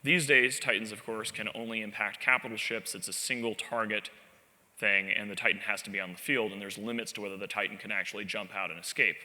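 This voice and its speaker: Bright, wry male conference speaker. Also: on the low side, fast. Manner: animated, wry storytelling with self-deprecating asides. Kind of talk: live conference presentation. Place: large convention hall stage. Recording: long reverb of a large hall.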